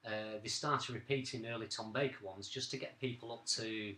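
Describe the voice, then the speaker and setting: A man's voice: fairly low pitch, steady tone, moderate pace, bright, forceful tone. A man of about forty co-hosting a podcast, reminiscing in casual conversation. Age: late 30s to early 40s. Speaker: podcast co-host. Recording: roomy, echoing amateur recording.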